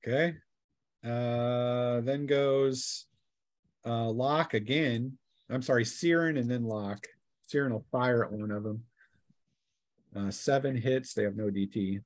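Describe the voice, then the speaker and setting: Male game master, casual, conversational tabletop narration, average pitch. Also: slow, long pauses.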